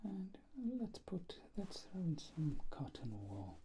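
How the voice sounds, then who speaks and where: A man performing an ASMR doctor roleplay in a soft, breathy whisper and low murmur, gently and politely. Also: big pitch swings, slow pace, heavy background noise.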